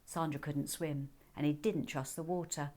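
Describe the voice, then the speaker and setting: A woman reading her own children's book as an expressive storybook narrator. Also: low voice, moderate pace.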